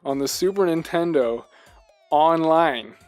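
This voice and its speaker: A man with a slightly high voice co-hosts a casual gaming podcast. He rambles along in an animated, emphatic way, circling back on his phrases. Music plays behind him. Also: takes it slowly. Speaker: podcast co-host. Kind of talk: casual video game discussion.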